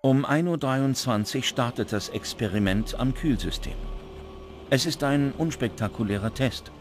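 A man reading scripted documentary narration in a measured, dramatic way, recorded as a studio voice-over. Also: medium pitch, animated, slight background noise.

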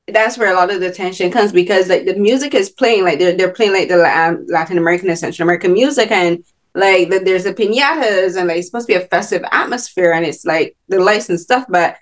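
Bajan woman hosting a podcast over video call, rambly and chatty, kinda low voice. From Barbados. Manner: chatty, thinks aloud with many fillers. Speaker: podcast host. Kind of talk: casual video-call interview. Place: home with virtual beach background.

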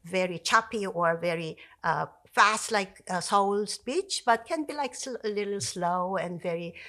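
A woman in her sixties, a native Korean speaker with a Korean accent, explaining language in an animated podcast chat, with many fillers and restarts. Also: medium pitch, unhurried. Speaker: Korean language professor, guest. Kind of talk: conversational explanation. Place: home study with bookshelves. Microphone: podcast mic on stand.

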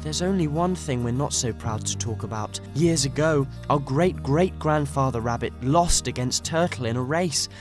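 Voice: medium pitch, moderate pace, hardly any pauses, over music, lightly acted. A performer voicing a proud mother rabbit in a cartoon, earnest and instructive. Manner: earnest, lecturing.

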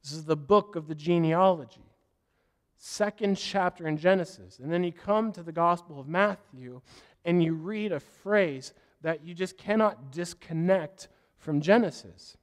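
A man preaching a sermon as pastor, hoarse from a cough, with a scratchy voice and an earnest, rambling way of talking. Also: a little high, measured pace. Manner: earnest, loses his train of thought and apologizes.

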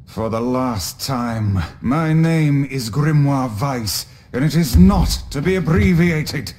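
An actor playing a proud, haughty talking book in a video game, with pompous, formal, theatrical diction. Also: male voice, medium pitch, slow, natural voice. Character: proud, haughty talking magical book, male, loyal underneath. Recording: loud music under the voice.

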